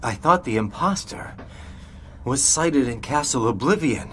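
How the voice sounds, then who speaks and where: A man's voice: mid-range voice, animated, a little slow, fairly bright tone, a little hiss or hum. A man voicing a laid-back, caring young man, a loyal older friend in a video game, casual but concerned. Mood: uneasy.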